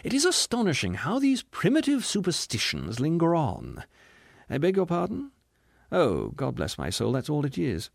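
A plump, condescending gentleman of refinement. His raised voice swoops with lofty, pompous self-satisfaction. It is storybook reading, recorded dry. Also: fairly high pitch, wildly swooping pitch, moderate pace, creaky, dry recording, voice pushed up, full character voice. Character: fat cultured gentleman, smug, condescending, loftily pompous.

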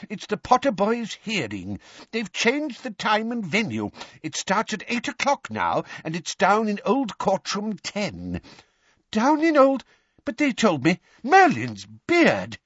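High, flustered timid old wizard. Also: male voice, wildly swooping pitch, slow, a bit bright, husky, dry recording, dull audio, full character voice. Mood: desperate, flustered. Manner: breathless, desperate, stammering.

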